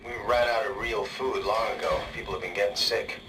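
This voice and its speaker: A man acts out a weary, stranded survivor in a video game, his voice slightly low. It comes from a distance through clear background noise. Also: fairly even intonation, a little fast, close to an everyday voice. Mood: weary, bleak.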